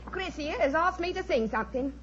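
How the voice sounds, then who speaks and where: A woman's voice: high-pitched, animated, brisk, fairly bright tone, slight background noise. A woman playing a spirited, plain-spoken former music-hall singer turned Rani in a 1940s radio drama, with brassy colloquial speech. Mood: chummy, spirited. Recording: dull, lo-fi 1940s radio recording.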